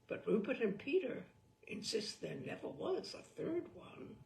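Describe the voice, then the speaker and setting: A woman storyteller reads darkly comic gothic narration with theatrical deliberation. Her voice is slow, animated and creaky, recorded in an echoey room. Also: a little high, slight background noise. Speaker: storyteller, reader.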